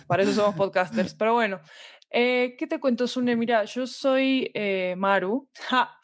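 A woman's voice: fairly high pitch, a little slow, no room sound. Woman, close dry sound. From Argentina. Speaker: podcast guest, fiction podcast producer. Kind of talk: casual interview talk.

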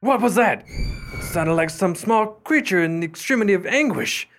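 Man acting as earnest gentleman, lightish animated voice. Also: sits high, normal speed, slight background noise. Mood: alarmed. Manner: formal, earnest, dramatic phrasing. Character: earnest, imaginative gentleman drawn to the eerie. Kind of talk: radio mystery acting, period dialogue.

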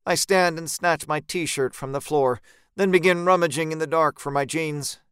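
A man narrating a first-person horror story in a measured, tense, dramatic audiobook style, recorded close in a dry space. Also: fairly high pitch, steady tone, a bit bright, smooth, dry recording.

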